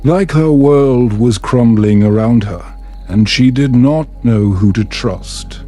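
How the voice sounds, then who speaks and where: Male narrator, low-pitched, unhurried pace, soothing bedtime storytelling, gentle delivery, soft music behind. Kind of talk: slow calming scripted narration, ASMR bedtime story.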